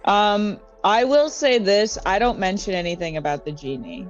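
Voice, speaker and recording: A woman's voice: medium pitch, lively intonation, normal speed. A woman chatting casually as a player in a tabletop game. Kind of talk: casual chat. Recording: dull lo-fi mic, faint music.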